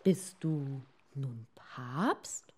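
A woman's voice: a bass voice, lively intonation, drawn-out words, a little mellow, slightly rough, voice pushed down, full character voice. A woman voicing a timid, submissive fisherman husband in a storybook tale, hesitant and pleading, drawing out the words. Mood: subdued awe.

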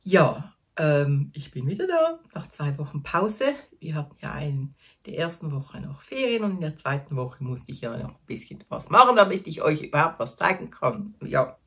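A Swiss German woman in her fifties talks casually to the camera from her craft corner at home. Her voice sits a little low, with a husky, gravelly edge. She rambles cheerfully and pokes fun at her own slips. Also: very animated, moderate pace. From Switzerland. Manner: chatty, self-deprecating, restarts and fillers, laughs at herself. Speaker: knitting vlogger.

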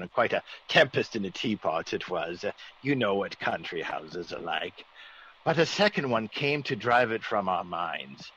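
An actor playing a genial, excitable aristocratic country squire, well-bred and blustering, in classic radio drama. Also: male voice, on the low side, husky, full character voice. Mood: narrating, earnest. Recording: dull, faintly noisy old radio recording.

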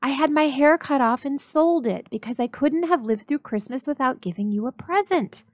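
A woman's voice: sits high, lively intonation, normal speed, no echo, voice pushed up. A woman voicing an eager, devoted young wife in a dramatic story reading, gushing and pleading earnestly. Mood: pleading, anxious.

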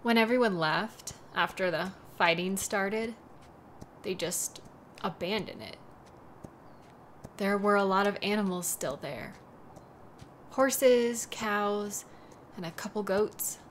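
Audio drama lead: actress as devoted young woman, worried, tender, slow delivery, light ambient noise behind. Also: medium pitch, natural voice. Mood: tender, gentle. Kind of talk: audio roleplay drama, intimate monologue.

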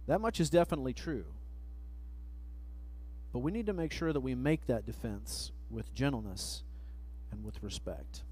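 A man's voice: medium pitch, expressive, mellow, a little hiss or hum, low-quality recording. Man preaching from a church pulpit. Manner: measured, reads verses slowly, trails off at phrase ends. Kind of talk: sermon with scripture reading. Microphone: pulpit microphone, far camera.

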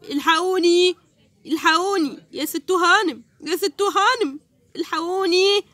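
A woman's voice: very high-pitched, moderate pace, fairly bright tone, no room sound, pitched below the performer's own voice. A woman voicing a panicked old man who has been turned into a pony, pleading frantically with an Egyptian Arabic accent.

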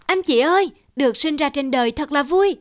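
High, innocent little grass blade. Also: female voice, lively intonation, brisk. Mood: delighted wonder. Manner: wondering, exclamatory. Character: innocent, wide-eyed young blade of grass, childlike little sister. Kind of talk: animated film dubbing.

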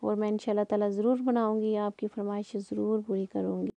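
Mellow, even-toned woman chatting about home cooking. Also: medium pitch, fairly quick, creaky, no echo. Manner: conversational, rambling. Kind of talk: casual chatty cooking voice-over.

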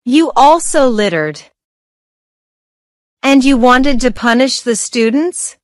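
Schoolboy's stern mother, animated story woman actor: accusing, high-pitched, dry studio-like sound. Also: slow pace, no echo. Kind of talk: scripted cartoon dialogue.

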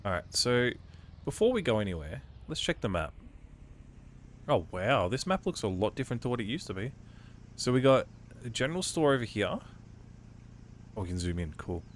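A man with a cold casually commentating his own gameplay, reading on-screen prompts aloud and thinking out loud as he plays. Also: medium pitch, big pitch swings, normal speed, pauses often, a little hiss or hum. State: has a cold. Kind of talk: casual let's-play commentary.

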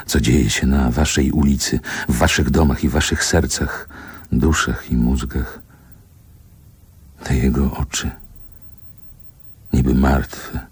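An actor playing a man who wistfully and wryly recalls his childhood in a literary radio play, deliberate and pausing between short phrases. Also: very deep voice, a little mellow, husky, exaggerated voice. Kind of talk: literary radio drama monologue, lyrical reminiscence.

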